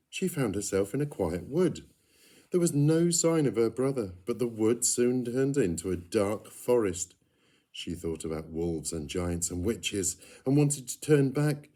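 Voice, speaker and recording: A man reading a picture book aloud in an expressive storytelling style. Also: mid-range voice. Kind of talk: expressive children's story narration.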